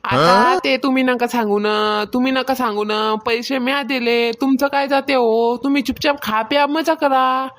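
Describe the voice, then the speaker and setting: Cartoon mistress of the house, played by a woman: higher-pitched, bossy, stingy, self-important, nagging in rustic Varhadi Marathi. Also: fairly even intonation, normal speed, hardly any pauses, lightly acted. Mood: irritated. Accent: Varhadi Marathi, rural Maharashtra. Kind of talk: Marathi cartoon comedy skit.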